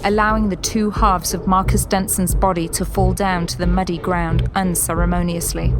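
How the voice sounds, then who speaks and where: A woman's voice: lowish voice, flat delivery, normal speed. A woman giving dramatic scripted narration for a sci-fi audio drama. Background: loud dramatic music and vehicle effects.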